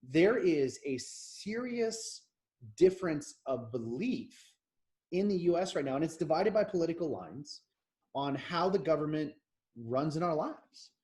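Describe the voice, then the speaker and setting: American man, thirties, podcast co-host, opinionated rambling chat, somewhat high-pitched. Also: animated, a little slow, darkish tone. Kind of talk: casual political chat.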